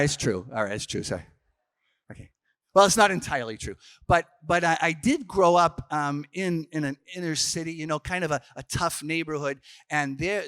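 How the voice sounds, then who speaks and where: A man's voice: mid-range voice, fairly bright tone, clear, resonant tone, no room sound, studio quality. A man, a Franciscan priest telling stories in a casual youth workshop talk, full of restarts and fillers. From Boston, Massachusetts. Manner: chatty storyteller, frequent restarts and fillers.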